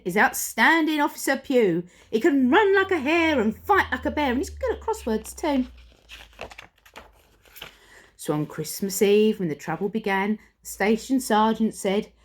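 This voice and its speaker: A woman reading a rhyming picture book aloud to children in a theatrical, playful way. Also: medium pitch. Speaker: primary school storyteller.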